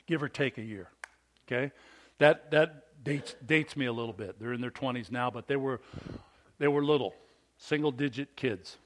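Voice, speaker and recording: A man in his fifties preaching a sermon to fathers, with a big, animated delivery that calls for amens from the congregation. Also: mid-range voice, moderate pace, slight background noise. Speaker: pastor.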